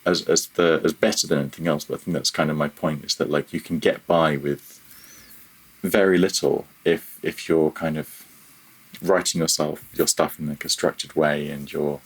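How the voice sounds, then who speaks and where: Guy with a really low, slightly rough voice, chatting tech on a podcast, keeps rethinking his sentences. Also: fairly even intonation, normal speed, rough recording. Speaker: podcast guest, audio programmer. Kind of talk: casual technical interview chat.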